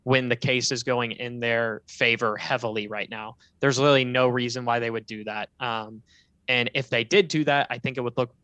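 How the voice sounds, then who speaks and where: A man's voice: medium pitch, fairly even intonation, moderate pace, pushed and bright, no room sound. A man co-hosting a casual livestream, giving blunt, firmly held opinions. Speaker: livestream co-host.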